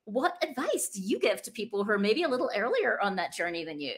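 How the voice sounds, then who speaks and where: A woman's voice: mid-range voice, very animated, quick pace. A woman hosting a podcast over a video call in an enthusiastic, conversational manner. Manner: effusive, enthusiastic. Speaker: podcast host. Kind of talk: conversational interviewing. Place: indoor room, video call.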